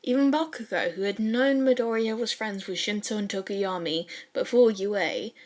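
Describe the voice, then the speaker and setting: A woman reading fan fiction as an audiobook-style narrator, with a casual, wry, expressive storytelling manner. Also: mid-range voice, moderate pace.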